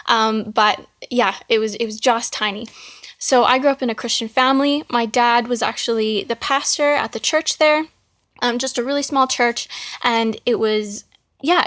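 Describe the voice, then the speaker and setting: A woman's voice: on the high side, a bit bright. A young Canadian woman from Manitoba co-hosting a faith podcast, talking in an easygoing way with lots of ums and likes. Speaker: podcast co-host. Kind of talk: casual faith podcast chat.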